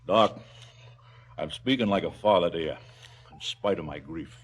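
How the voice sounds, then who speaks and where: An actor playing a callous, blustering male crime boss in a stylized radio drama, slangy and falsely fatherly with a husky voice. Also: low-pitched, normal speed. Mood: oily, persuasive.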